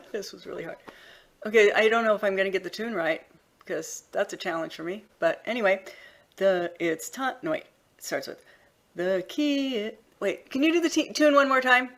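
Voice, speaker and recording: A woman's voice: mid-range voice, moderate pace, a bit bright. A woman in a workshop over a video call, hesitant and laughing at herself as she recites her lyric. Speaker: workshop participant.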